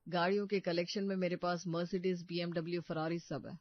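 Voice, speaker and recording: A man's voice: high voice, level tone, normal speed, dry recording, lightly acted. A man playing a protective, scheming young brother in a cartoon story, with an assured, earnest delivery. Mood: smooth, boastful. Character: protective, scheming young working-class brother posing as rich suitor. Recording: muffled dry studio recording.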